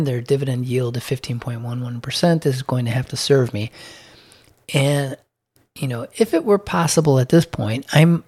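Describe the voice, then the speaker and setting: A man with a slightly hoarse voice talks through his investment holdings in a relaxed, unscripted way. He stops and restarts often, filling gaps with ums while reading out percentages. His voice sits at an ordinary middle pitch for a man. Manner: halting, many ums and restarts, reads figures aloud. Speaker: dividend investing vlogger. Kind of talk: casual monthly portfolio recap.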